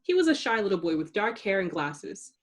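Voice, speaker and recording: A woman reading a children's story aloud in an expressive narrating style, with dramatic emphasis for suspense. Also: mid-range voice, fairly quick. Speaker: storybook reader.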